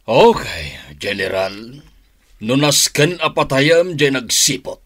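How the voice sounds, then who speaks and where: A man's voice: medium pitch, sing-song, takes it slowly, fairly bright tone, no room sound, studio quality, exaggerated voice. A man playing a commanding crime boss who gives orders in a radio drama. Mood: stern, commanding.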